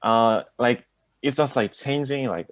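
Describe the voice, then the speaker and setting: A young man, a student speaking haltingly in a class discussion, filling pauses with "like" and "uh". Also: medium pitch, no room sound. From Mongolia. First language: Mongolian. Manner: hesitant, fills with "like" and "uh".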